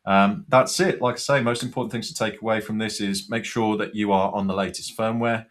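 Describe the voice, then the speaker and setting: Deep-voiced man giving a tutorial. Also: fairly even intonation, brisk, fairly bright tone. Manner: informal, step-by-step explaining. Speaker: product demonstrator. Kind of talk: unscripted tutorial walkthrough.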